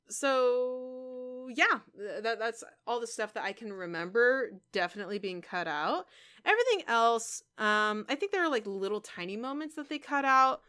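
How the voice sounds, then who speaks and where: Clear-voiced woman, chatty vlogger. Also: mid-range voice, bright, forceful tone. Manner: rambling, conversational, with emphatic asides. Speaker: YouTuber and game streamer. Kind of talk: casual vlog chat to viewers.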